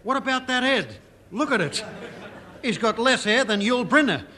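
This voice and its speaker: An actor playing a naive, wide-eyed young wrestling spectator in 1950s radio comedy, gawping and exclaiming. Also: male voice, very high-pitched, lively intonation, moderate pace, pushed and bright, heavily acted. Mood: amazed. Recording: noisy old radio recording with audience. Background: studio audience.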